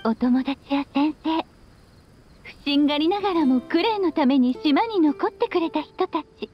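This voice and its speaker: High-pitched, loving witch mother. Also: animated, moderate pace, lo-fi sound. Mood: wistful, loving. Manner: tender, storytelling, with playful teasing asides. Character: playful, loving, long-lived witch mother addressing her child. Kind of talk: Japanese anime game voice acting. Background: background music.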